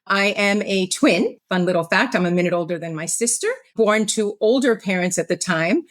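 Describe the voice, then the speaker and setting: A woman's voice: mid-range voice, normal speed. A middle-aged American woman from New York, chatting casually as an interview guest in short phrases with playful asides. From United States, New York. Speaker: podcast guest, healthcare technology executive. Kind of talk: casual autobiographical interview answer.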